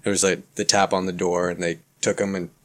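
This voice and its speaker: A man from Canada whose first language was Ukrainian, a guest author talking in a halting, filler-heavy way on a podcast. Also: deep voice, level tone, fairly bright tone. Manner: halting, many fillers and restarts. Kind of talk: casual podcast conversation.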